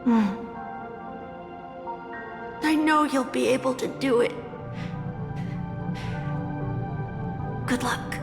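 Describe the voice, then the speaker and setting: An actress playing a small, innocent boy in a video game, soft, curious and encouraging in a simple childlike way. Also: high-pitched, steady tone, takes it slowly, vocal fry, exaggerated voice. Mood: gently encouraging. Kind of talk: dramatic video game voice acting. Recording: echoing long hall reverb, music underneath. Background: new-age music.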